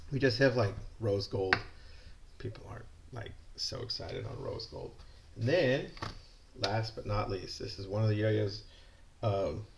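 A man's voice: lowish voice, creaky, slight background noise. A middle-aged American man from California, a yo-yo shop owner, chatting casually and enthusiastically while unboxing products on a desk camera indoors. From California, USA.

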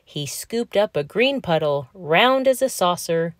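A woman reading a children's storybook aloud in an expressive, animated storytelling manner. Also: on the low side, unhurried, dry recording, studio quality. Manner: animated, emphatic storytelling delivery. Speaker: children's book reader.

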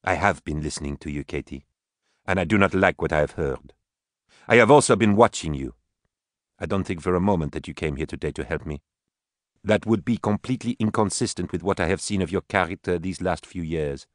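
A male narrator plays a righteous older woman on trial, talking in careful, formal sentences. his voice sits low and dark, and the recording is completely dry. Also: dry recording. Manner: formal, measured speech. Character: proud imprisoned mother on trial, older woman, righteous and unbending.

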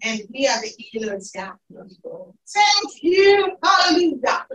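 A woman leading a fervent spoken prayer at a church pulpit, impassioned and rising into shouts of praise. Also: high voice, big pitch swings, slow pace, muffled recording, a little hiss or hum. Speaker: prayer leader. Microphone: pulpit mic, recorded by far camera. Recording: echoey church from far off.

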